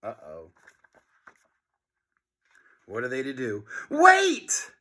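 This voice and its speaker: A man reading a children's book aloud in an expressive, dramatic narration, with asides to young listeners. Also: a little high, slow.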